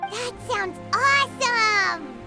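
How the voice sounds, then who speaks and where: A woman voicing a tiny, childlike, chatty companion sprite in a video game, squeaky and eager. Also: expressive, very slow, full character voice. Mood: impressed. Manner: childlike, speaks of self in third person. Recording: background music under the dialogue.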